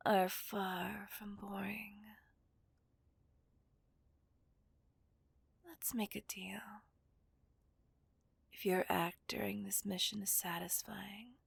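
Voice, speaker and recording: A seductive, possessive villainess played by a woman whispers in a breathy voice with a gravelly edge. She is teasing and dominant, drawing her words out slowly as if toying with a captive in an ASMR roleplay. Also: mid-range voice, no room sound, heavily acted. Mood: teasing, smug. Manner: teasing, dominant, drawn-out delivery. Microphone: close microphone, dry sound.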